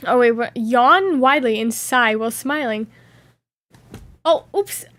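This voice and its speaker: A woman's voice: on the high side, a little slow, a bit bright, no room sound. A woman streamer chatting casually, reading viewer questions aloud and reacting playfully with frequent giggles. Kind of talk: casual live Q&A chat.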